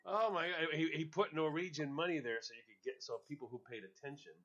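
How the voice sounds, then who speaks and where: A man's voice: a little high, fairly quick, a bit bright, dull audio. A male radio host in casual on-air banter, joking and laughing often as he tells stories.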